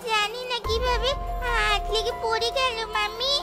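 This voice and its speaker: Squeaky, slow, timid little girl. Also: flat delivery, loud music behind the voice. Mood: timid pleading. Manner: hesitant, pleading. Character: timid young girl in a cartoon tale. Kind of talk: melodramatic cartoon voice acting.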